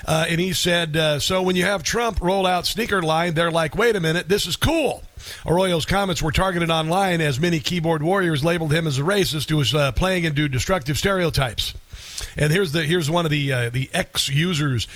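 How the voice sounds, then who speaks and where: A man's voice: on the high side, normal speed, a bit bright, no room sound. A male radio talk show host delivering an indignant, scoffing opinion monologue into a studio microphone.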